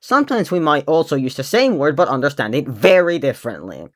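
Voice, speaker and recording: Lively, sarcastic young vlogger, native Finnish speaker. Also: medium pitch, a little fast. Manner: sarcastic, blunt, swears when ranting. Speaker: video creator. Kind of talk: casual vlog rant.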